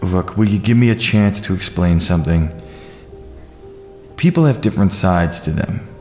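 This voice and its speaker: A man's voice: deep voice, normal speed, a little mellow, natural voice. A man playing an earnest, troubled man with a secret in an audio drama. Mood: earnest, hesitant. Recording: roomy echo, faint music.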